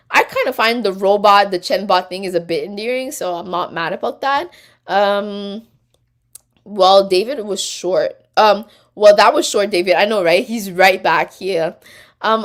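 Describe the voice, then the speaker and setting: A woman hosting a casual live stream, reading viewer comments aloud and chatting back. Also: mid-range voice. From Quebec. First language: French. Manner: chatty, reads comments aloud and answers them.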